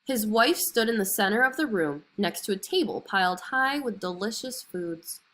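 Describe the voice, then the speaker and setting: Expressive woman storyteller, animated pitch. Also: normal speed. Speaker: storyteller reading a children's fairy tale. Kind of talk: expressive scripted story narration.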